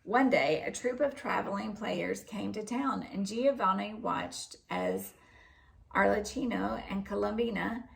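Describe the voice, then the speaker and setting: A woman reading a children's story aloud in an expressive narrating style. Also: medium pitch, a little slow, creaky, poor audio. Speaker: storybook reader.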